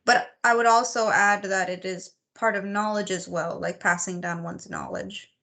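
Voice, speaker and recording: A woman's voice: mid-range voice, steady tone, normal speed, vocal fry, a bit bright. A woman co-hosting a casual chat, thoughtful and sometimes searching for words. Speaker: podcast co-host.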